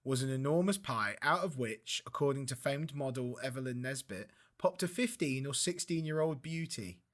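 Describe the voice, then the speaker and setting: A young man co-hosting a casual podcast, reading history facts from his notes and stopping to laugh and comment on them. His voice sits at an ordinary middle pitch for a man. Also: expressive, moderate pace. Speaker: podcast co-host.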